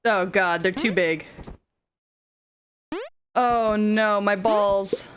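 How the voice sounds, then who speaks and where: A young woman with a deep, smoky voice, chatting and cursing casually while streaming a game. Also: extremely slow, slight background noise. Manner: crude, swears, laughs, sings along. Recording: faint music behind.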